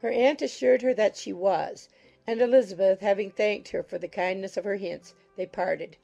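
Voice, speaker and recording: Mellow, creaky woman narrating a story. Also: medium pitch, faint music behind. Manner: measured, formal storytelling. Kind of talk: scripted audiobook narration.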